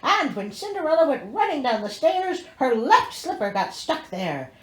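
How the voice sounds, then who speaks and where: A woman's voice: high voice, sing-song, moderate pace, reverberant. A woman reading a storybook aloud as host, theatrical and wry, breaking in with exasperated, sarcastic asides.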